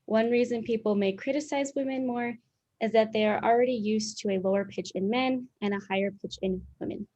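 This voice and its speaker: A young woman reads a prepared educational script in a clear, explanatory way. The recording sounds dull and lo-fi. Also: on the high side, fairly quick, darkish tone. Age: young adult. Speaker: student presenter.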